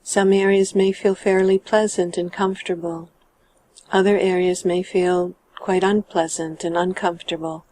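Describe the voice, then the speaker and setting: A woman guiding a meditation in a calm, measured and gentle manner, letting her sentence endings trail off. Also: on the low side. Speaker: meditation guide. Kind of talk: guided meditation narration.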